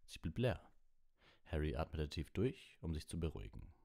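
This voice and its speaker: A man's voice: a bass voice, very animated, normal speed, gravelly, warm tone. A man reading a children's fantasy novel aloud as an audiobook storyteller. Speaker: audiobook reader.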